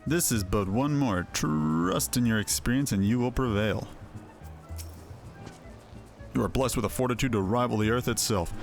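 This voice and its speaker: A man's voice: mid-range voice, moderate pace, creaky, pauses often, soft background music, close to an everyday voice. Man voicing a stately, reassuring fantasy mentor. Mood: calm, assuring. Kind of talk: voiced game dialogue.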